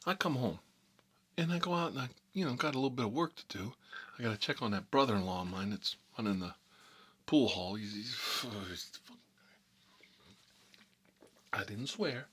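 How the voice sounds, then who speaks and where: A man's voice: mid-range voice, lively intonation, fairly quick, a bit bright, breathy edge. A man playing a chatty, boastful American mob boss who rambles and repeats himself in a casual ASMR role-play monologue. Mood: confiding. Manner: folksy, repetitive, rambling, emphatic. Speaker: ASMR storyteller playing a recurring character.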